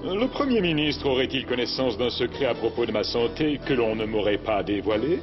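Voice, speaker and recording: Man acting a courteous, animated cartoon president. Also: medium pitch, fairly quick, muffled recording, over music, lightly acted. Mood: wry. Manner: courteous, formal, hesitant openings. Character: genial, unworried male president, courteous, dignified. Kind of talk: French cartoon dub acting.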